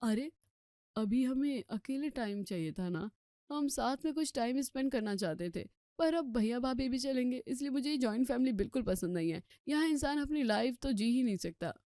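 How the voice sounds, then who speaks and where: A woman's voice: a little high, expressive, moderate pace, dark tone, dry recording, studio quality, lightly acted. A woman voicing a sulky, scheming young husband who complains with exasperation in a family story. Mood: sulky, indignant.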